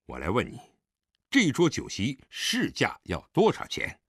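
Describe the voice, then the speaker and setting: Casting: severe elderly father and statesman , read by a male narrator, commanding, dry sound, very dynamic pitch. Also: huge pitch swings, measured pace, fairly bright tone, breathy edge, dry recording, full character voice. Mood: restrained anger. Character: stern, angry old father, a high-ranking statesman.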